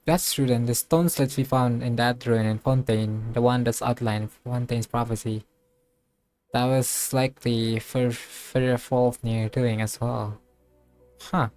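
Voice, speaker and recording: A man giving casual playthrough commentary as a game streamer, musing aloud and thinking things through haltingly. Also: medium pitch, steady tone, a little mellow, low-quality recording. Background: game music.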